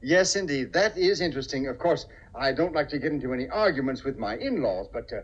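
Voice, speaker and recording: A man's voice: mid-range voice, animated, fast talker, husky. An actor playing a timid, kindly middle-aged businessman, hesitant and stammering, in an old muffled radio comedy. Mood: pleased, proud. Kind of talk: 1950s radio comedy-drama acting.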